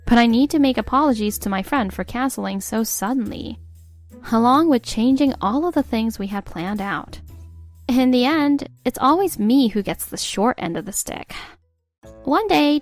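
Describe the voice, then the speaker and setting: A woman's voice: on the high side, lightly acted. A woman playing an exasperated young wife. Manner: exasperated, sarcastic. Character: fed-up young wife narrating her story. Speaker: narrator and wife. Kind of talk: scripted narration. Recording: faint music behind.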